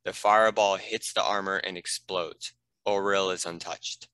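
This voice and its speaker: A man's voice: sits low, fairly even intonation, normal speed, sharp tone, clear, resonant tone, dry recording, rough recording. A man reading scripted narration and stage directions for a fantasy script read.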